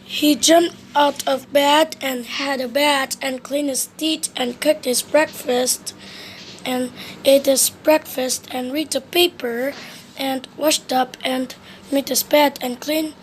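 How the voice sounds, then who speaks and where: A woman reading a children's storybook aloud, with occasional halting slips. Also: sits high, steady tone, slight background noise. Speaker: storybook reader.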